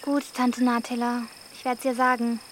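A woman plays a young teenage village girl in a radio drama. Her high voice is wary and terse, blunt in what she says. Faint outdoor ambience runs behind her. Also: little pitch movement, normal speed, slight background noise, natural voice. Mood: guarded, polite. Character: guarded, blunt thirteen-year-old village girl.

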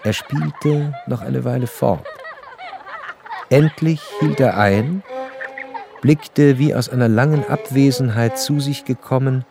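An actor playing an educated, curious gentleman who narrates a literary radio play in a measured, articulate way. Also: mid-range voice, gravelly. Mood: observant, intrigued. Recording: studio radio play over faint violin music.

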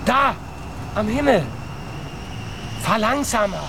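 A man's voice: high voice, lively intonation, slow, noisy background, heavily acted. A man playing a wary, reluctant ordinary family man in a radio play, speaking hesitantly. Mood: alarmed. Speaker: actor in a radio drama. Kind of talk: German radio play acting.

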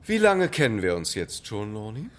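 An actor playing an imperious, sarcastic playwright with biting mock politeness in a German radio play. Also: male voice, on the low side, wildly swooping pitch, moderate pace, slight background noise. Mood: stern, irritated. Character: imperious, sarcastic male playwright with theatrical temper.

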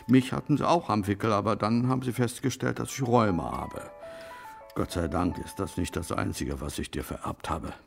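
Creaky old father reminiscing. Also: on the low side, moderate pace, faint music behind. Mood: dry, reminiscing. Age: older. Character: old German father, wartime auxiliary policeman, dryly reminiscing. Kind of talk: naturalistic radio drama dialogue.

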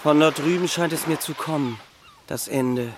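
An actor playing a brooding, fatalistic working man of the seaside in a radio drama, halting and portentous. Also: on the high side, background noise, natural voice. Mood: brooding, ominous.

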